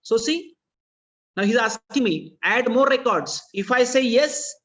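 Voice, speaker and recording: A man's voice: very high voice, lively intonation, measured pace, a bit bright. A man with an Indian accent teaching programming in a live online lesson, explaining didactically and repeating phrases for emphasis. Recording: dull, lo-fi online meeting audio.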